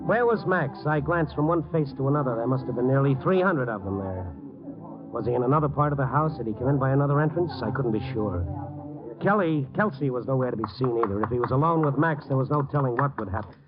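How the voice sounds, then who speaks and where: A man's voice: mid-range voice, expressive, quick pace, close to an everyday voice. An actor in an old radio drama playing a tough, wry newspaper reporter who narrates his own case. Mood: tense, brooding. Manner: wry, hardboiled. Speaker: narrator and lead. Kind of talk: 1940s-1950s radio acting, first-person narration. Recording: muffled old radio with music behind.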